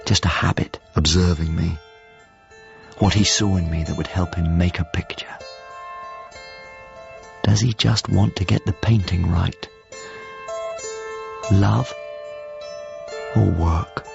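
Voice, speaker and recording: A deep, gravelly, wistful painter. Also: male voice, steady tone, dull audio, music in the background, heavily acted. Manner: intimate, measured, halting phrases. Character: painter recalling a lost beloved master, wistful, devoted. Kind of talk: radio drama monologue and dialogue.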